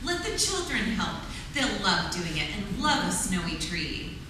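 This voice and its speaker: A woman playing a cheery 1940s radio pitchwoman giving scripted homemaking tips. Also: sing-song, a little slow. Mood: cheery, instructive. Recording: distant, echoey, noisy hall.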